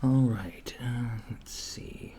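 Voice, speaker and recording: A man doing soft-spoken ASMR roleplay as a polite, curious alien clerk, hesitant and apologetic, often slipping toward a whisper. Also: medium pitch, extremely slow. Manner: hesitant, apologetic, earnest questioning, drifting into whispers. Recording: noisy close recording with keyboard typing. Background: computer keyboard typing.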